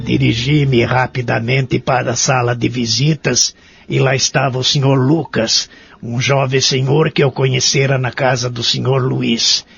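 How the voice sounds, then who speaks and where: A man's voice: medium pitch, flat delivery, a little slow, vocal fry, a bit bright. A man acting an anxious, remorseful man of eighty-four from a care home in a Brazilian Portuguese audio drama. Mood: reflective. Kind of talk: audio drama acting and first-person narration. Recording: dull muffled audio with background music.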